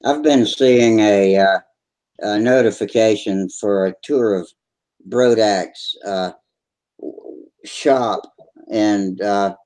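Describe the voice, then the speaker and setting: A man with a fairly low voice hosts a casual hobby chat over a video call. He rambles in a relaxed way and stops often to search for words. His sound is dry and close. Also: takes it slowly, dry recording. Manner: relaxed, rambling, with many uhs. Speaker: forum hangout host.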